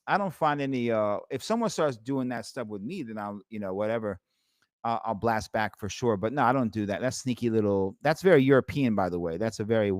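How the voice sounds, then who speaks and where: A man's voice: lowish voice, expressive, brisk, no echo, studio quality. An American man in his forties talking casually in a debate, blunt and rambling, with plenty of swearing. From United States. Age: 40s. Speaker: podcast host.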